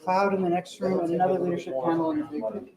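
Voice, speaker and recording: A man's voice: sits high, flat delivery, racing. A man organizing a developer conference, announcing room changes and sorting out logistics in a casual, conversational way, with filler words. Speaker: event organizer. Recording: echoey room, far from mic, loud crowd chatter.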